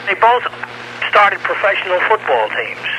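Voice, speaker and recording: A middle-aged man phoning a radio show, chatting nostalgically in a hesitant, halting way. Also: high-pitched, sing-song, sharp tone. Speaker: radio call-in caller. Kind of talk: nostalgic casual chat. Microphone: telephone call to studio. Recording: noisy cassette of radio phone-in.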